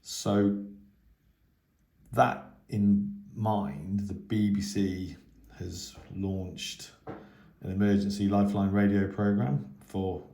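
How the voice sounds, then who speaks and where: A man giving a casual, unscripted radio hobby news update in a hesitant, halting way. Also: on the low side, steady tone, vocal fry, dark tone. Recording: echoey, roomy sound.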